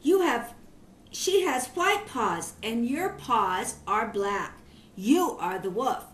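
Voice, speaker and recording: A woman's voice: on the high side, expressive, slow pace, a bit bright, roomy sound, a little hiss or hum. A woman voicing a wary, innocent little goat kid in a children's storybook, childlike and insistent. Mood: defiant.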